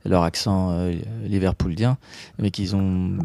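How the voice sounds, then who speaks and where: A man with a very deep, dark voice, chatting on a podcast and telling anecdotes at a measured pace. He pauses on fillers as he thinks. The recording is dry and close. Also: flat delivery, gravelly, dry recording. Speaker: podcast co-host. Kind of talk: casual film chat.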